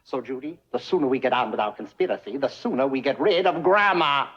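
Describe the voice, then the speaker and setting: An actor playing a pompous, scheming Mad Hatter in a theatrical 1950s educational film style, with a husky voice. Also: male voice, medium pitch, brisk, a bit bright. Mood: scornful, scheming. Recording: dull vintage film soundtrack.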